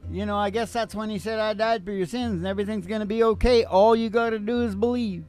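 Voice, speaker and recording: High-pitched folksy man, rambling over guitar. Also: fairly even intonation, fast talker, darkish tone, clear, resonant tone. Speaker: radio show host and singing DJ. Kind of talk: folksy comedic storytelling monologue over music.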